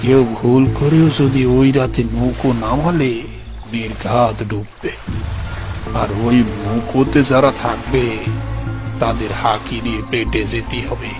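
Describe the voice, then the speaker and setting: A man voicing a grave village boatman in a horror story, speaking in a slow, hushed, ominous way. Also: mid-range voice, fairly even intonation, music in the background, natural voice. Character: grave village boatman warning of a river demoness.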